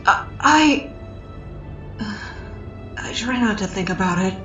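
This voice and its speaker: A woman's voice: mid-range voice, expressive, takes it slowly, bright, forceful tone, far from the mic. Woman voicing a polite, weary young man, slightly roomy. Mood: subdued, apologetic. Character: Henry, weary but polite selectively mute young male adventurer. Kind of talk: comic fan dub dialogue. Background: music.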